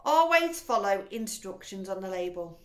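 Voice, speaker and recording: Woman playing a loud, bossy scolding mum. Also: medium pitch, big pitch swings, normal speed, echoey room, lightly acted. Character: bossy scolding middle-aged mother.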